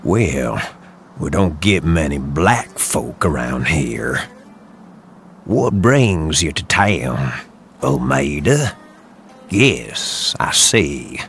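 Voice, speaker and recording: Ominous, very deep-voiced townsman. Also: big pitch swings, very slow, a bit bright, soft background music, exaggerated voice. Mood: quietly menacing. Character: ominous male small-town local, guarded and cautioning. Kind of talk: animated game cutscene acting.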